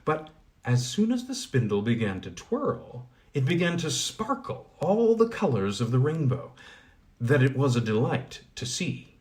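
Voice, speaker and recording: Lively male storybook narrator. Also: medium pitch, a little slow. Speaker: audiobook reader.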